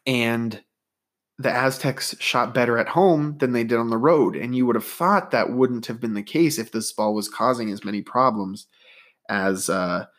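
A man's voice: medium pitch, moderate pace, fairly bright tone. A man hosting a solo sports podcast, talking in a rambling, conversational way with a stuffy nose. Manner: conversational, rambling, data-minded. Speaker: podcast host.

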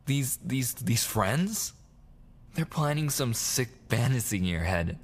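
A man playing a possessive, obsessively jealous boyfriend in an intimate ASMR audio roleplay, pleading and menacing in halting, soft speech that slips into whispers. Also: medium pitch, big pitch swings, exaggerated voice. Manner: pleading, halting and repetitive, slipping into breathy whispers.

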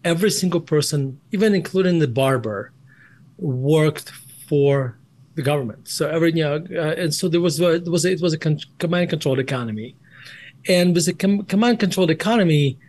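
A man's voice: fairly high pitch, fairly quick, darkish tone. A man in his forties with a Russian accent, a guest in an interview, often restarting and repeating words as he explains. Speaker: guest, investment firm CEO. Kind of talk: conversational interview answers.

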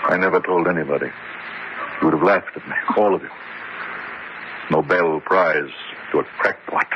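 A man's voice: medium pitch, lightly acted. Haunted, theatrical man as a proud scientist, wildly swooping. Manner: theatrical, deliberate, dramatic pauses. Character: grown scientist prodigy, haunted, proud, intense former pupil. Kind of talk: melodramatic horror radio drama acting. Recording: muffled, noisy radio drama recording.

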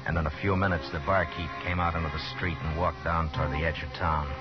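A stern Old West lawman for 1950s radio drama. The voice sits low and speaks in terse, dry, commanding phrases with a weary edge. The recording is muffled and dated. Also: little pitch movement, quick pace, loud music behind the voice. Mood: grim narration. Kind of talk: 1950s radio western acting and first-person narration.

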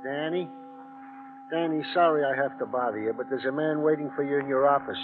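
An actor playing an apologetic, considerate police colleague in a radio drama. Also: male voice, mid-range voice, fairly quick, close to an everyday voice. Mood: gentle, apologetic. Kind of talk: 1950s radio drama acting. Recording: narrow-band old radio with music.

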